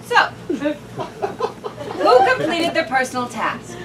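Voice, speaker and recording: A woman's voice: high-pitched, sing-song, slow pace, a crowd in the background, heavily acted. Woman playing an icy, demanding boss. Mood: cold. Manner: cool, clipped and commanding. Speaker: stage actor. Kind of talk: live staged reading of a sitcom. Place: small theater stage. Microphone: far camera. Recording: distant mic, noisy room with audience.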